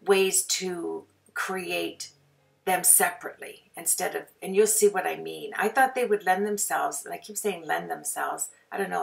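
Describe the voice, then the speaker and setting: A woman with a raspy voice from a sore throat, narrating a card-making tutorial as a chatty, rambling voice-over. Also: lowish voice, distant mic. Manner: chatty, rambling, with frequent fillers. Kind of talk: casual voice-over for a craft video.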